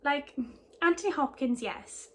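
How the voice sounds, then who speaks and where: A woman's voice: sits high, lively intonation, measured pace. Chatty young Welsh woman. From Wales. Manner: chatty, rambling, giggly asides. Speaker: book vlogger. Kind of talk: casual book haul chat.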